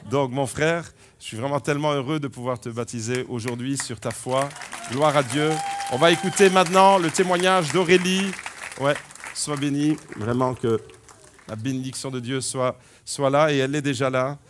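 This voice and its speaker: A man leading a baptism service as pastor, joking warmly with the congregation between blessings. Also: medium pitch, normal speed, background noise. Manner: jovial, joking, enthusiastic.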